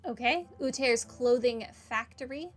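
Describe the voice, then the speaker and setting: Woman gamer, fairly high voice, casual commentary, thinking aloud, faint game music behind. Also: unhurried. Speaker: gaming video host.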